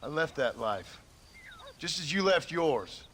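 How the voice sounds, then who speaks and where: A weary American former outlaw in a western game, terse and folksy. His pitch sits a little high and moves widely. There is clear noise behind the voice. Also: very animated, slow, fairly bright tone, heavily acted. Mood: quiet, reflective. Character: weary, plain-spoken former outlaw, devoted husband and father. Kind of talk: dramatic video game cutscene acting.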